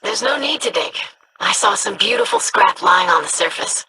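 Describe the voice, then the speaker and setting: A woman's voice: mid-range voice, lively intonation, moderate pace, noisy background, lightly acted. A woman voicing a hopeful, polite young alien girl in a video game, speaking earnestly.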